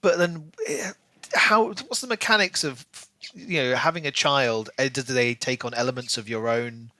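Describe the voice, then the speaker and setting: A man's voice: mid-range voice, normal speed, sharp tone, no echo. A man co-hosting a podcast, chatting casually about books and thinking aloud as he goes. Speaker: podcast co-host. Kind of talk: casual book discussion.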